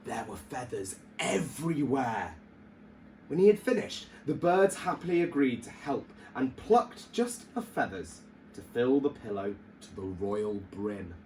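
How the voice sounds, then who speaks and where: A man's voice: medium pitch, very animated, a little slow, a little mellow, a little hiss or hum, poor audio. A man reading a children's story aloud like a teacher at storytime, theatrical and emphatic.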